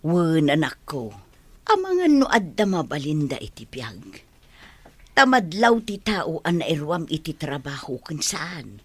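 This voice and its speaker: An actress playing a kindly, concerned middle-aged mother with a husky voice in an Ilocano radio drama. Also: deep voice, big pitch swings, measured pace, dry recording, heavily acted. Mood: gentle, approving.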